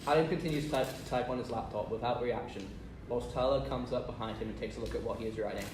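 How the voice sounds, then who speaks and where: Casting: male table-read narrator, medium pitch, reading directions quickly in a roomy space. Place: echoey room, off-mic. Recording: echoey, noisy, distant microphone.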